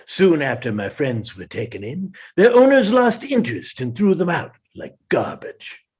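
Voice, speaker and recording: A man's voice: a little high, big pitch swings, moderate pace, darkish tone, slightly rough. A man playing an earnest talking animal who solemnly interprets and tells stories at a comedy table read.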